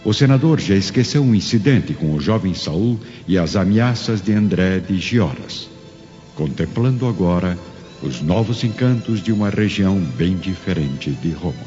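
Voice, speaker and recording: A man's voice: low-pitched, animated, moderate pace, dark tone. A man with a Brazilian accent reading dramatic scripted narration for a radio drama. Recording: hall reverb, dull audio, music underneath.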